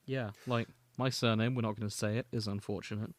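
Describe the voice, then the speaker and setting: A man's voice: fairly low pitch, steady tone, fairly quick, no room sound. A man co-hosting a podcast, chatting casually about fanfiction and reading passages aloud. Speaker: podcast co-host.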